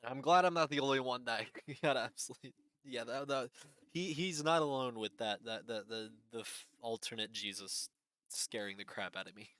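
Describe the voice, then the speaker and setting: A man hosting a reaction video with casual unscripted commentary, halting and repeating his phrases. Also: medium pitch, a bit bright, clean tone, no echo.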